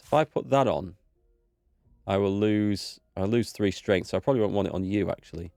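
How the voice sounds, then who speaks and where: Man, low voice, stuffy from a cold, easygoing gaming chatter, dry close sound. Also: a little fast, creaky, darkish tone, no room sound, soft background music. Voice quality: slightly blocked, stuffy nose. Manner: easygoing, thinking aloud, reads in-game text. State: recovering from a cold, voice not back. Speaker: gaming video host. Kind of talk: relaxed let's play commentary.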